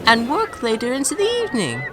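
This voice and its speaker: A woman's voice: fairly high pitch, huge pitch swings, quick pace, noisy background. A woman voicing an eager, polite young male tank engine in a children's audio drama, cheerful and earnest. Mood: hesitant.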